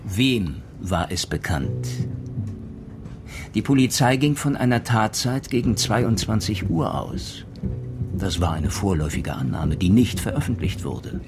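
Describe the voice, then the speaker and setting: An actor playing a methodical retired police detective in a crime radio play, deliberate and self-assured, reasoning through rhetorical questions. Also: male voice, on the low side, big pitch swings, music in the background. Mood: probing, methodical. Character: retired male police detective, methodical, probing, theatrically sure of himself.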